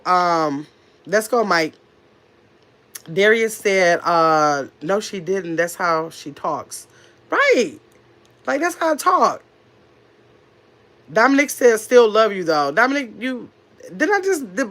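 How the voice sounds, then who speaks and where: A woman's voice: medium pitch, a bit bright. A middle-aged woman with a very nasal voice, stuffy from a cold that is coming on. She talks loosely and at length to her livestream chat, firing back at comments with sass and rambling asides, close to a phone or webcam mic. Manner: talkative, sassy, rambling, answers chat comments directly. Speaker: livestream host reading chat.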